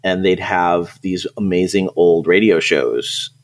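A man's voice: sits low, slow pace. A man co-hosting a podcast in casual conversation, often repeating words and restarting as he finds his phrasing. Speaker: podcast co-host.

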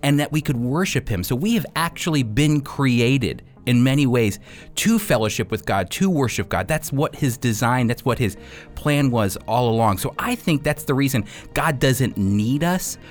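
Mid-pitched man teaching, faint music. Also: expressive, moderate pace. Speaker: radio host and Bible teacher. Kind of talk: conversational explanation.

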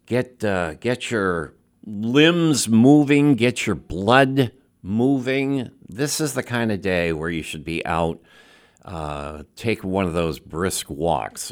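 A man filling in as a radio host, chatting casually in a folksy, bantering way. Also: lowish voice. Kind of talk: casual local radio talk.

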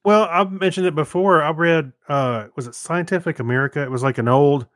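A man co-hosting a casual podcast, reading items and chatting haltingly, often stumbling over his words. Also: a little high, fairly bright tone, no room sound, clean studio sound. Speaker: podcast co-host.

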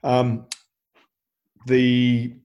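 A man with a medium-pitched voice gives casual feedback as a podcast co-host. He explains his points reflectively, in relaxed discussion style. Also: extremely slow, highs cut off.

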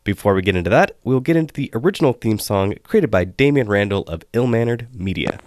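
A man hosting a solo podcast, hoarse and losing his voice, chatting with wry asides. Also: lowish voice, expressive, fast talker, noisy background. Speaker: podcast host.

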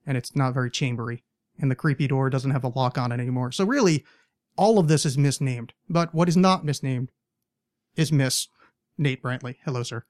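A man's voice: mid-range voice, brisk, a little mellow, dry recording. A man hosting a podcast in a small studio, chatting casually in a rambling, self-deprecating way. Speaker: podcast host. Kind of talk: casual chat.

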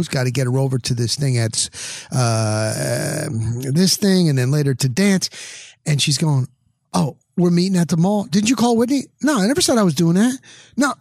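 Male podcast host, middle-aged, American. Slightly high voice, close dry sound, casual punchy storytelling with acted-out dialogue. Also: no room sound. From New Jersey, United States. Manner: animated storyteller, acts out dialogue in short punchy phrases. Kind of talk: casual anecdotal monologue.

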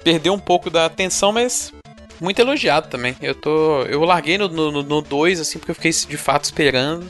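A man from Minas Gerais with a Minas Gerais accent, chatting on a podcast in a relaxed, rambling way. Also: on the high side, brisk, faint music behind. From Minas Gerais, Brazil. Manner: relaxed, rambling, with many restarts. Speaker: podcast co-host. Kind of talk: casual podcast chat.

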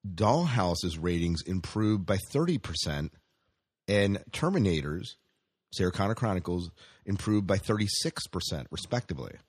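A man's voice: low-pitched, animated, normal speed, darkish tone, husky, no echo, clean studio sound. A man hosting a casual news chat, speaking conversationally and often putting questions to his co-host. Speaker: podcast host reading entertainment news.

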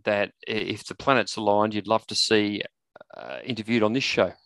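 A man hosting a casual interview, speaking in hesitant, measured phrases. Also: on the low side, gravelly, fairly bright tone, no echo. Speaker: interview show host.

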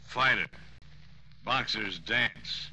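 An actor playing a gruff, blunt former heavyweight fighter, terse and tough, in 1940s radio drama style. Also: male voice, fairly low pitch, very slow, bright, forceful tone, full character voice, rough recording. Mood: surly. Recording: old radio recording with faint noise.

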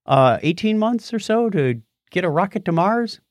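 A man's voice: high-pitched, sing-song, normal speed, no room sound, studio quality. A man co-hosting a podcast, explaining news items in a casual, jokey, conversational way. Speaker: podcast co-host.

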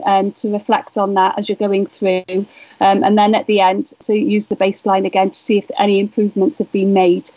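A woman from Wales speaks as an education researcher, explaining her work conversationally. Her voice is mid-pitched, and the recording is very dry and somewhat muffled. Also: darkish tone, no echo. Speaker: education researcher being interviewed.

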